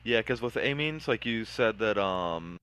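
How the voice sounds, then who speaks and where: A man's voice: lowish voice, lively intonation, measured pace, fairly bright tone, clean tone, dry recording. A man in a casual voice chat, explaining his building project hesitantly with many filler words.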